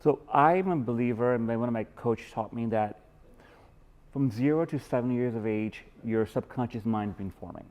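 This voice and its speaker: A man from Pakistan hosts a podcast, recording in a guest's home. His voice sits at a middle pitch, and he moves from grand, formal greetings to earnest, curious questions. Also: normal speed, a little mellow. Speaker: podcast host. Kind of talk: podcast interview. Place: guest's house.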